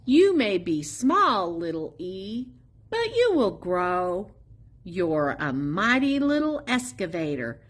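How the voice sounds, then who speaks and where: A woman reading a children's picture book aloud expressively, in a sing-song, drawn-out way with playful emphasis on sound words. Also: medium pitch, very animated. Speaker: storybook reader at a public library.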